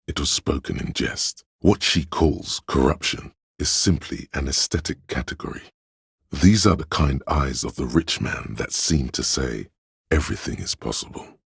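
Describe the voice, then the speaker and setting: Super deep gravelly inner voice for a game, pretentious art snob narrator, theatrical, creaky and dry. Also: male voice, no echo, exaggerated voice. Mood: wry, critical. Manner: theatrical, ponderous, pompous art-critic phrasing. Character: inner voice of artistic imagination, pretentious, intellectual, male. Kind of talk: scripted second-person game narration.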